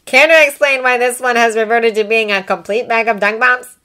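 A woman's voice: sits high, animated, moderate pace, bright, forceful tone, voice pushed up. A woman voicing a frank, inquisitive teenage girl in a dramatized story reading. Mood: pointed.

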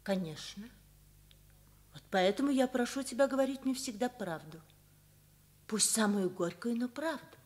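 A woman plays a proud, loving mother in a high voice with lively, swooping intonation. She speaks gently and expressively, in the style of Soviet radio theatre. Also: moderate pace, long pauses, close to an everyday voice. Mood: gently probing. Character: proud, calm, loving mother of a teenage son. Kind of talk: Soviet radio play acting.

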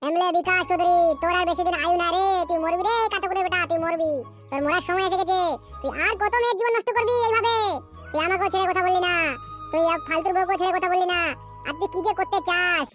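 A high-voiced performer playing furious scorned wives in a Bengali comedy cartoon, ranting and scolding in a shrill, pitched-up childlike voice. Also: steady tone, quick pace, fairly bright tone, soft background music. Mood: aggrieved. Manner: scolding, foul-mouthed, ranting.

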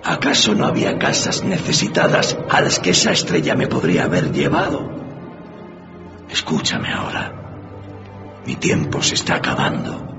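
A tormented old-world ghost in a radio play, with an extremely low voice. He laments solemnly and stretches words for weight, full of remorse. The sound is dull, with prominent music behind. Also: wildly swooping pitch, creaky, full character voice. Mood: anguished remorse, then grave warning. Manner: solemn, lamenting, drawn-out emphatic delivery. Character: tormented ghost of a dead miserly businessman, remorseful. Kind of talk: radio drama acting. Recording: dull muffled recording under loud music.

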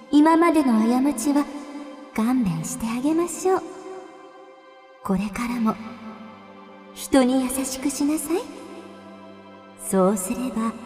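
Benevolent fairy for radio drama, female actor: gentle high voice, very echoey, soft music underneath. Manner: benevolent, measured, motherly. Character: gentle, forgiving fairy goddess in a dream. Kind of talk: Japanese radio drama acting. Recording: long dreamy reverb over soft music.

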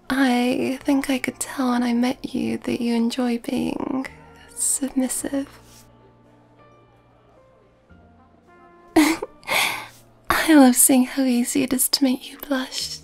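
A woman playing a nurturing, gently dominant, affectionate girlfriend in an ASMR roleplay, in a soft breathy whisper. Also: high-pitched, full character voice. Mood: teasing, affectionate.

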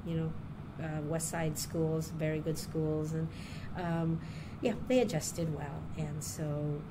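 A middle-aged woman with a fairly low voice recalls her life in a relaxed, rambling way, full of small fillers and restarts. The recording carries a clear, steady background noise. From Puerto Rico, raised near Chicago. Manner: unhurried, chatty, with many ums and restarts. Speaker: interviewee, university diversity director. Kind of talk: oral history interview.

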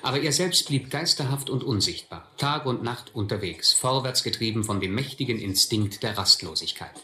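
A man's voice: medium pitch, lively intonation, moderate pace, pushed and bright, reverberant. An actor playing a reflective narrator who recalls his vagabond youth in a German radio drama. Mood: reflective, grave. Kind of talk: scripted first-person narration in a radio drama.

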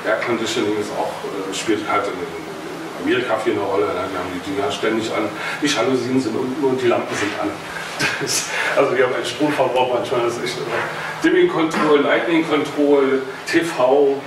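A man's voice: mid-range voice, brisk. A man in his thirties with a Berlin accent gives a loose, chatty hobby presentation, standing and moving about in a meeting room. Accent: Berlin German. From Berlin, Germany. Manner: loose and chatty, with filler words and small jokes. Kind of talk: casual technical presentation. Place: meeting room with projector screen, indoors. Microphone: far camera across the room. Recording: very echoey room, far from the mic, noisy.

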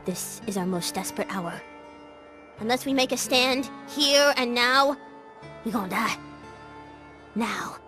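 A woman's voice: a little high, measured pace, pauses often, bright, forceful tone. A woman voicing a hyperactive, unhinged teenage girl game master who tells her story in theatrical slang for a video game. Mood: dramatic narrating. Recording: music behind the voice.

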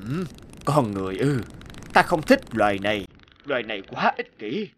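A man's voice: mid-range voice, very animated, moderate pace, a little hiss or hum. An actor voicing a solemn, fatherly old god in a cartoon, grave and grandfatherly in manner. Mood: grave, displeased. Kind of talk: cartoon dub acting. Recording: loud music behind the voice.

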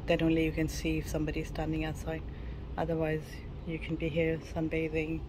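Female travel vlogger, low even voice, plain and matter-of-fact room tour, some ambient noise behind her. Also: brisk. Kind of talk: casual cabin tour narration. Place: mostly a small cruise ship cabin. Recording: clear background ambient noise.

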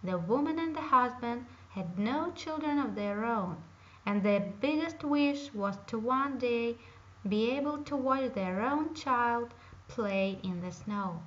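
A woman reading a fairy tale aloud in a slow, careful storytelling manner. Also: a little high, fairly bright tone. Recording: dull lo-fi home recording.

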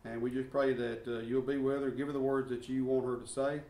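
A man introducing a guest teacher and praying, halting with fillers. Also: medium pitch, level tone, mellow, distant mic. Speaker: church class leader. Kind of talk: introduction and prayer. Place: church classroom. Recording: roomy echo, from off mic, faint room noise.

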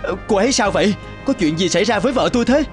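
A devoted, anxious young father from a cartoon drama. His voice sits high for a man and is earnest and full of feeling. Music plays clearly behind him. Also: lively intonation, rapid-fire. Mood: worried, pleading. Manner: earnest, emotive. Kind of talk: dubbed cartoon drama acting.

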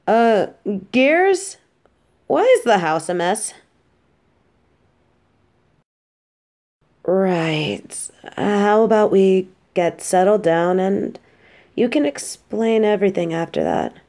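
A woman playing a casual, affectionate, teasing supervillain who gets flustered, speaking haltingly and expressively in an audio drama. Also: medium pitch, expressive, a little mellow. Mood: tired, puzzled. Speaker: voice actress in an audio roleplay. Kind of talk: intimate scripted audio drama addressed to a listener.

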